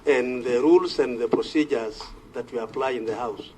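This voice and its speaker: A man with a Kenyan accent speaking formally as a committee senator, measured and hesitant, often repeating words as he goes. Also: mid-range voice, a little mellow, slight background noise. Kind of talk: formal parliamentary debate.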